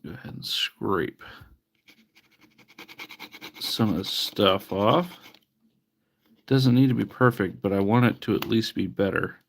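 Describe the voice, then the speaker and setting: Male streamer, low and gravelly, wry casual patter, a little stuffy-nosed. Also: slow, pauses often, a little hiss or hum. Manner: chatty, wry, mock-disgusted asides. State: sniffly from allergies. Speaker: livestreamer repairing retro handhelds. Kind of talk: casual live-stream chat while working.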